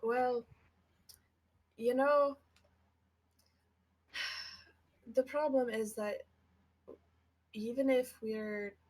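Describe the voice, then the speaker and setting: A woman's voice: fairly high pitch, extremely slow, long pauses, darkish tone. A woman voicing a serious male druid who speaks earnestly about his sworn duty. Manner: earnest, thoughtful.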